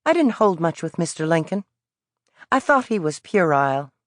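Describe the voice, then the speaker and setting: A woman's voice: fairly low pitch, sing-song, moderate pace, dry recording, voice pushed up. A woman voicing a scrappy, defiant young boy with a thick American Southern drawl, proud and prickly, in a dry studio read. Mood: prideful. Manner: proud and prickly, formal words.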